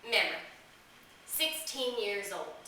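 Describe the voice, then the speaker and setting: A woman performing a dramatic stage monologue as a woman reliving girlhood memories, childlike and candid, with emphatic delivery, drawn-out words and pauses. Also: mid-range voice, very animated, pushed and bright, a little hiss or hum, exaggerated voice. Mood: bleak. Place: small theatre stage. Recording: echoey theatre, recorded from a distance.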